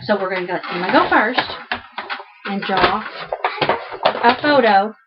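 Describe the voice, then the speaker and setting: A woman's voice: medium pitch, lively intonation, measured pace, dull audio, heavy background noise. A woman with a Southern American accent teaching children through an interactive read-aloud, asking questions and repeating answers back.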